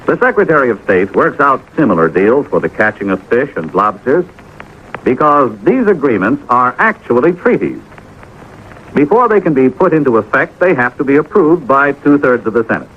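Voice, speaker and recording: A man's voice: mid-range voice, very animated, normal speed. An American man reading a folksy, explanatory public-service script in the style of a 1950s radio announcer. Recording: dull, faintly noisy old radio recording.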